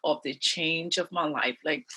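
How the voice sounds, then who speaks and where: A woman's voice: low voice, unhurried, pushed and bright, slightly rough. A woman in her forties from Liberia, a guest in an interview, telling her life story in an earnest, reflective way.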